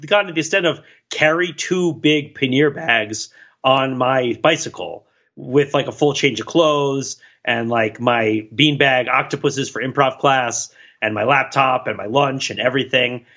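Male co-host for casual podcast talk, bright and forward tone, medium pitch, somewhat lo-fi audio. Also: normal speed. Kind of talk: casual conversational podcast discussion.

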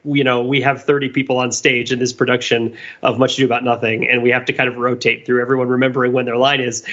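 A man's voice: medium pitch, pushed and bright, highs cut off. A man co-hosting a podcast, explaining his thoughts in a casual, rambling, enthusiastic analytical discussion. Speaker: podcast co-host and commentator.

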